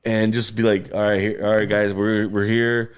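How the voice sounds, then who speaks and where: A man's voice: fairly low pitch, moderate pace, clear, resonant tone. A man chatting casually and jokingly in a group, using slang and swearing and acting out bits as he talks. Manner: animated, acts out phone bits in put-on voices; profane, slangy.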